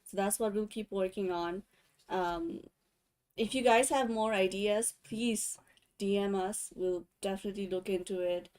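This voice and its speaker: A woman's voice: mid-range voice, steady tone, unhurried, a little mellow. A woman from Nepal with a Nepali accent, a content creator chatting cheerfully about her videos. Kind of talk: casual chat.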